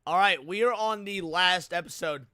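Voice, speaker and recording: A male streamer with a high, clear voice reacts casually as he watches along with his audience. His talk is slangy and profane, and the sound is dry and close to the mic. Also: normal speed, bright, forceful tone, no room sound. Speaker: livestream host. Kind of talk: casual live reaction commentary to horror series.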